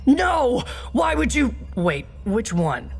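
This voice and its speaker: Woman playing a husky, melodramatic secret agent. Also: a little high, sing-song, slow, darkish tone, heavily acted. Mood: exasperated. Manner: melodramatic, incredulous. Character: earnest, easily exasperated secret agent. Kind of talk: comic video game cutscene acting. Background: game music.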